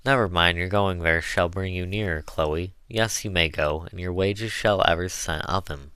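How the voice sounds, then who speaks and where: A man voicing a composed, kindly, genteel mistress of the house in an audiobook, with proper, measured wording. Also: deep voice, sharp tone, clean tone, no room sound. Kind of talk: audiobook character voice.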